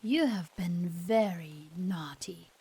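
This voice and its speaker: A woman plays the ghost of a jealous killer in a horror audio play. Her voice is dark and husky, with an eerie, menacing hush that often sinks toward a whisper. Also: on the low side, slow, full character voice. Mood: menacing taunting. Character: vengeful glowing ghost of a jealous murderous woman.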